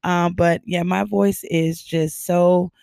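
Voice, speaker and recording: Woman, older, hoarse, raspy, husky, gravelly, dry close podcast mic, chatty, laughs a lot. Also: on the low side, level tone, slow pace, warm tone, dry recording. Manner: chatty, laughs often, many ums and uhs. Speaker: podcast host. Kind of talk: casual motivational podcast chat. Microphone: podcast microphone.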